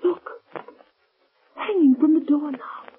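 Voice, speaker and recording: An actress playing an anxious, devoted companion, earnest and theatrical, in a 1930s radio drama. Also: female voice, high-pitched, slow pace. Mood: frightened. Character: Margot, anxious, devoted woman companion of a crime-fighter. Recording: muffled tinny 1930s radio broadcast recording.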